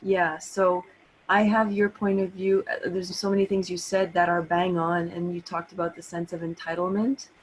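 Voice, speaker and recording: A woman's voice: on the low side, flat delivery, normal speed, slight background noise, poor audio. A middle-aged woman from Canada, talking casually and personally as a participant on an online call through a laptop. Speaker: participant calling in, massage therapist. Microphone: laptop on an online call, no camera.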